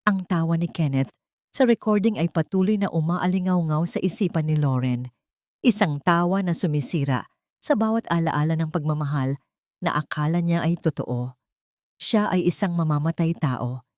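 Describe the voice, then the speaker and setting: A woman's voice: sits low, moderate pace, darkish tone, dry recording, clean studio sound. A woman narrator reading a scripted dramatic story for an audiobook.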